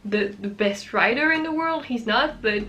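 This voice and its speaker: A woman's voice: a little high, animated, normal speed, fairly bright tone, slight background noise. A young woman from France with a French accent, chatting casually to camera about books she loves, restarting her sentences often. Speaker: book vlogger.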